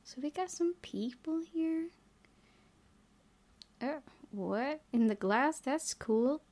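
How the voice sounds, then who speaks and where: A woman's voice: sits high, expressive, slow. A woman reading a game's story aloud and adding casual commentary as she plays. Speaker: gaming video creator. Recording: loud background noise, game ambience.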